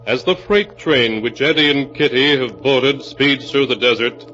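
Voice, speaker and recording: A man's voice: mid-range voice, normal speed, sharp tone. A man with an American accent narrating a scripted radio drama in the 1940s style, with a solemn, dramatic storytelling manner. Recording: dull old radio recording. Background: music.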